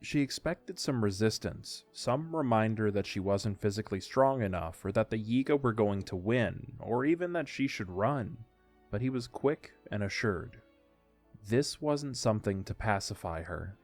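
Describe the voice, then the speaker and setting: A man's voice: on the low side, expressive, normal speed, a little mellow. A man reading scripted narration for an audio drama story. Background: faint music.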